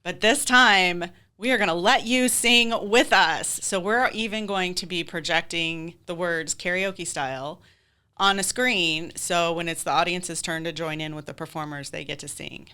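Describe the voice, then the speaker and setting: Bright, clear-voiced woman, lowish pitch. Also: normal speed. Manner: enthusiastic, explanatory. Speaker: podcast guest, theater director. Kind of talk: casual interview chat.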